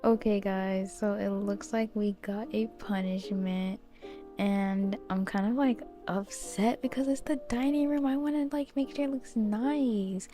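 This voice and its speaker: A woman's voice: medium pitch, steady tone, a little slow, dark tone, soft background music. A young woman giving casual, chatty commentary over a video game as host.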